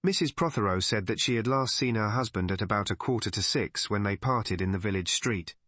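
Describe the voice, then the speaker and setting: A man's voice: lowish voice, lively intonation, brisk, fairly bright tone, no echo. A man reading a scripted mystery story as an audiobook narrator.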